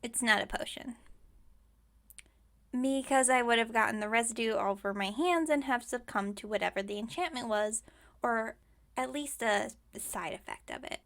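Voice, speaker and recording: A woman's voice: fairly high pitch, moderate pace, creaky, fairly bright tone. A woman playing a scatterbrained, overconfident witch shopkeeper in an ASMR roleplay, chatting breezily and musing aloud with great self-assurance. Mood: bossy, methodical. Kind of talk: ASMR roleplay audio drama.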